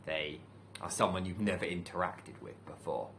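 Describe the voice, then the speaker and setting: A man from the UK, a teacher, giving a calm, thoughtful talk to camera in a measured way with many pauses. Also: deep voice, gravelly, slight background noise. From United Kingdom. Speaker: teacher and channel host. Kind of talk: reflective solo talk to camera.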